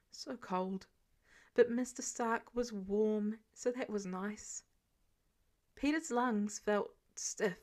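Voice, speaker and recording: Higher-pitched woman narrating a story expressively. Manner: acts out dialogue. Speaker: audiobook narrator of fan fiction.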